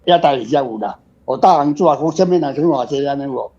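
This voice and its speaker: A man's voice: mid-range voice, fast talker, a little mellow. A man with a Taiwanese accent in casual conversation, talking informally. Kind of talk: casual chat. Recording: muffled lo-fi sound with music behind.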